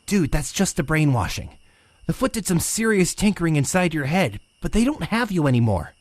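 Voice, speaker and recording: A man's voice: on the high side, big pitch swings, moderate pace, dry recording. A man playing a brainy, anxious young mutant turtle in an audio comic drama, casual and thoughtful.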